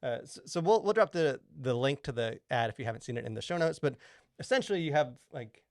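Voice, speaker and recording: A man hosting a casual podcast discussion, talking in a halting, rambling way full of filler words. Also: medium pitch, expressive, no room sound, studio quality. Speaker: podcast host.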